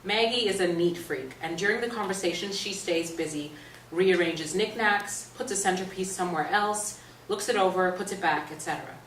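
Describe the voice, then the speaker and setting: A woman's voice: on the low side, normal speed, a bit bright. A woman narrator reading stage directions aloud at a staged reading. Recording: echoey stage, distant mic, noisy room.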